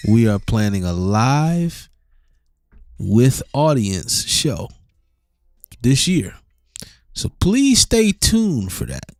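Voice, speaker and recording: A man's voice: medium pitch, big pitch swings, drawn-out words, long pauses, a little mellow, no echo, studio quality, faint music behind. A man hosting a podcast, announcing plans in a laid-back, easy way and signing off the episode. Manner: laid-back, affirming backchannels. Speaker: podcast host. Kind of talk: casual podcast sign-off and chat.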